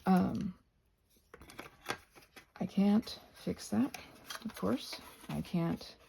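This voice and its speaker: An American woman rambling casually while she does crafts, halting and trailing off into soft-spoken asides. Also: medium pitch, vocal fry, background noise. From United States. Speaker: craft and ASMR channel host.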